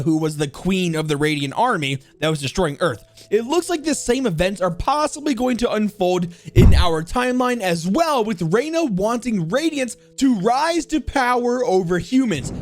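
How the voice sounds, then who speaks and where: Animated man, high-voiced, hosting a countdown. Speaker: host of a game lore countdown video. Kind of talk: enthusiastic video commentary.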